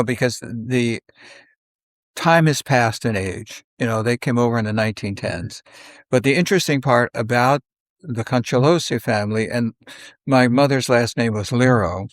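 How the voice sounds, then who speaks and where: An older man reminisces conversationally, in halting, unhurried phrases, often acting out bits of dialogue. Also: mid-range voice, dry recording, clean studio sound. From South Philadelphia, United States. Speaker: podcast guest.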